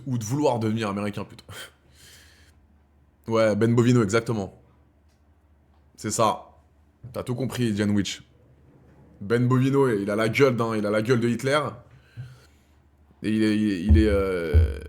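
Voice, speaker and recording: A man's voice: fairly low pitch, normal speed, long pauses. A man in his thirties chatting casually to his live-stream viewers, slangy and rambling, calling them his brothers. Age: 30s. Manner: swings from heated rants to quiet musing. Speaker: streamer host.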